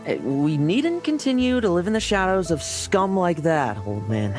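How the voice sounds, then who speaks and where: Low-voiced, breezy warrior woman. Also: big pitch swings, normal speed, a little mellow. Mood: teasing. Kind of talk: video game voice acting. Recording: game music behind the voice.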